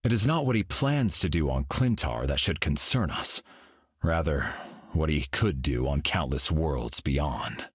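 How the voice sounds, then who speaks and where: Solemn cosmic hero for a game, super low voice, husky, rises and falls a lot. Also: male voice, no room sound, clean studio sound, full character voice. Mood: serene. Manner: calm, measured, grave. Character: serene cosmic golden male hero, solemn. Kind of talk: video game voice lines.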